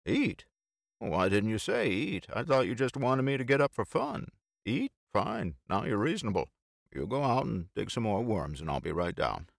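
A man's voice: fairly low pitch, sing-song, normal speed, creaky, no room sound, studio quality, pitched above the performer's own voice, heavily acted. A man voicing a boisterous, playfully preachy teasing man in audiobook dialogue, with emphatic, bantering delivery.

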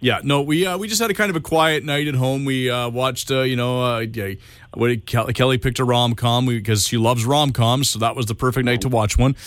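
A man's voice: medium pitch, a little fast, no echo. A man, co-hosting a radio talk show in easygoing chat full of fillers and restarts. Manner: relaxed, hesitant, many fillers and restarts. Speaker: radio co-host. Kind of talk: casual on-air chat.

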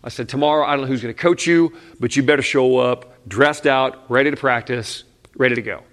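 A middle-aged man who was a youth pastor, telling a story to an audience in a casual, animated way, acting out quoted voices and sometimes dropping to a hushed aside. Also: medium pitch, brisk.

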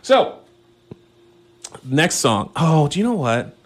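A man's voice: a little high, big pitch swings, slow. A Canadian man hosting a small community radio show, chatting casually and enthusiastically with lots of fillers about the next song. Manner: rambling, filler-heavy, enthusiastic.

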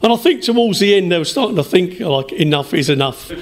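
A man answering as a writer in a radio interview, in hesitant, measured phrases. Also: sits high, lively intonation. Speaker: interview guest, writer and storyteller.

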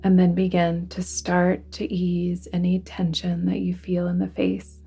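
A woman guiding a relaxation meditation in a soothing, deliberate manner, with phrases that sometimes fall to a hush. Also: on the low side, monotone, vocal fry, husky, soft background music. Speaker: yoga nidra guide. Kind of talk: guided relaxation meditation narration.

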